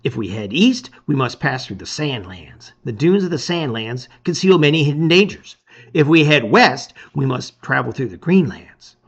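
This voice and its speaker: A man's voice: mid-range voice, lively intonation, moderate pace, breathy edge, highs cut off. A man as an eager young monkey adventurer. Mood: eager debating. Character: young skinny hairy monkey friend, impulsive adventurer. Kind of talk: storybook read-aloud.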